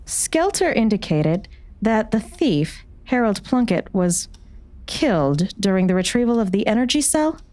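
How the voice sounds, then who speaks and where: A woman's voice: mid-range voice, big pitch swings, measured pace, a little mellow, no echo. An actress playing a cold, imperious woman doctor who leads a secretive order, speaking with formal, controlled menace in an audiobook drama. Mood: coolly polite. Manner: formal, controlled, veiled threat.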